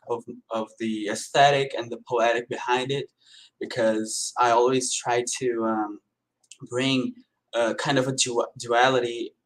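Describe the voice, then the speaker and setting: A Brazilian painter with a Portuguese accent, speaking hesitantly with many fillers in a live-stream interview. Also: male voice, medium pitch, rough recording. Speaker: painter guest.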